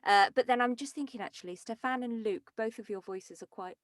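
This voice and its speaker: A woman's voice: fairly high pitch, brisk, no room sound, studio quality. A woman casually organising a group read, making tentative and polite suggestions. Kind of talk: casual planning discussion.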